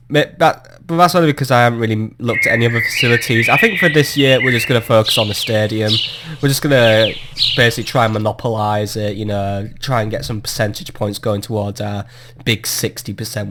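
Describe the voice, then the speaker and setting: A man's voice: on the low side, steady tone, brisk, fairly bright tone. A man giving casual, self-mocking commentary while playing a management game, his voice still recovering from a cold. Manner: chatty, self-mocking, swears when annoyed. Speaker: gameplay commentator. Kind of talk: casual let's-play commentary. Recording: audible background noise.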